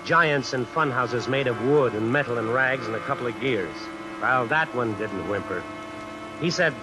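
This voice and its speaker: A man's voice: medium pitch, natural voice, poor audio. A man playing a cornered tough guy who narrates his predicament in a colloquial, brooding way in an old radio suspense play. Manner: colloquial, deliberate, brooding inner monologue. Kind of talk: 1940s-50s radio suspense acting, first-person narration. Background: music and rain sounds.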